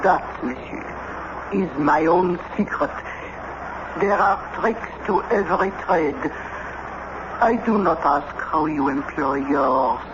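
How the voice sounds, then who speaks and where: Haughty witch, slow-spoken. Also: sits low. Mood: cool, mysterious. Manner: haughty, measured, theatrical. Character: proud, sly French witch woman, coolly businesslike. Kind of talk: radio drama acting. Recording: noisy, muffled old radio broadcast recording.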